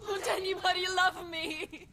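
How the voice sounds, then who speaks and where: A woman's voice: very high-pitched, steady tone, a little slow, bright, forceful tone, a little hiss or hum, heavily acted. An actress playing a desperate, forlorn, needy woman pleading in a video game. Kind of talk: dramatic video game voice acting.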